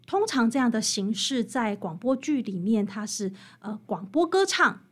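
A woman's voice: on the high side, very animated, normal speed, slightly rough. A woman with a Taiwanese Mandarin accent speaking as an interview guest, explaining and telling stories in an emphatic, conversational way. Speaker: guest author interviewed about her book.

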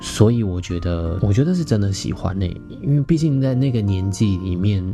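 Animated low-voiced Taiwanese man telling stories. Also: a little fast. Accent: Taiwanese Mandarin. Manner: conversational, expressive, addresses listeners directly. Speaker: podcast host. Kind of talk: personal storytelling to listeners. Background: background music, guitar.

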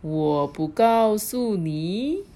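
A woman's voice: medium pitch, lively intonation, creaky, mellow, exaggerated voice. A woman voicing a sly, timid old god of dreams who drawls and teases. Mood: teasing. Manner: slow, drawling and teasing.

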